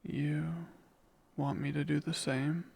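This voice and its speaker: Husky, gravelly demon lover, acted by a man. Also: on the high side, level tone, slow pace, a little mellow, exaggerated voice. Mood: yearning, tender. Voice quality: husky, breathy with heavy creak. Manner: formal, courtly, murmuring. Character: devoted, yearning male demon servant bound to his master. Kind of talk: intimate ASMR romance roleplay.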